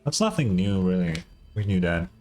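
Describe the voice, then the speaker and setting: Low-voiced, sweary male game streamer. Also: sing-song, moderate pace, dark tone, soft background music. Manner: informal, sweary, wry. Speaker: gaming streamer. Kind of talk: casual game reaction commentary.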